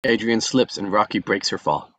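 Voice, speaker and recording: Low-voiced man reading stage directions. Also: animated, normal speed, a bit bright, no echo. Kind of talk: reading script action aloud.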